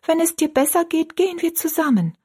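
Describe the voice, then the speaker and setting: A woman voicing an anxious, loving young daughter in a story, with a soft, childlike, pleading way of talking. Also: animated, a little fast. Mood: protesting, hopeful. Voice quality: high, soft.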